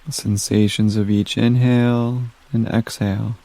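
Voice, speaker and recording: A man leading a guided meditation in a gentle, soothing manner, giving soft instructions with long pauses. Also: on the low side, level tone, gravelly.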